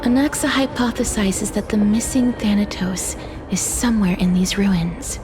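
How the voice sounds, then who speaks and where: A woman playing a gentle, earnest young adventurer in a video game, explaining things in a soft, solemn, thoughtful way. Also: mid-range voice, normal speed, close to an everyday voice. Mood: solemn, pensive. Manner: soft, solemn, breathy sighs. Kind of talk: video game dialogue, expository. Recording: music behind the voice.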